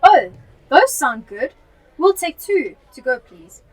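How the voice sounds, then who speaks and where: A woman's voice: high-pitched, sing-song, slow pace, some chatter behind, full character voice, poor audio. A woman acting an inquiring, matter-of-fact police detective in a radio drama.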